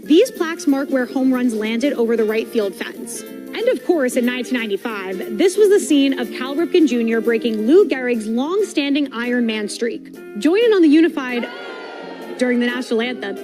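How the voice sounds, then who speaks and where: A woman's voice: a little high, expressive, moderate pace. A woman reading an upbeat, scripted promotional travel guide. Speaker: narrator of ballpark guide. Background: music.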